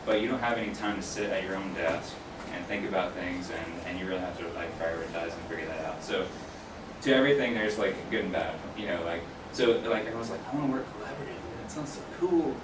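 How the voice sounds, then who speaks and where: A man in his thirties giving an informal talk as a guest speaker, answering questions in a halting way with self-corrections. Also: low-pitched, lively intonation. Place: small classroom, indoors. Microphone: distant camera microphone. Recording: roomy, distant mic, clear background noise.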